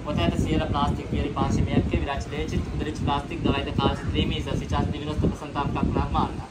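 A man's voice: little pitch movement, quick pace. A man explaining things as a tour guide in broken, with short simple phrases. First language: not Russian. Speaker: turtle farm guide. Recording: loud background noise, outdoor rumble.

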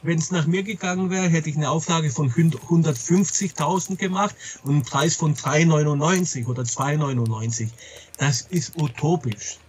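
A middle-aged man with a slightly husky voice, being interviewed and talking candidly and casually, often stopping to correct himself. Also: fairly high pitch, moderate pace. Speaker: comic publisher being interviewed. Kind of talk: casual interview talk about a trade.

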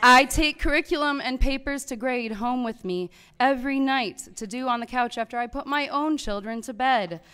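A woman's voice: high-pitched, normal speed, few pauses, smooth. Earnest woman teacher at a podium. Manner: earnest, pleading, rising to loud emphasis. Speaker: teacher giving public comment. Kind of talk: prepared public comment speech. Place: large public meeting hall with audience. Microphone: podium microphone.

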